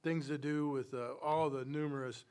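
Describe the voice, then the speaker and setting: A man's voice: fairly high pitch, fairly even intonation, normal speed, darkish tone, smooth. Man chairing a village council meeting. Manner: enthusiastic, repeats words. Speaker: council president.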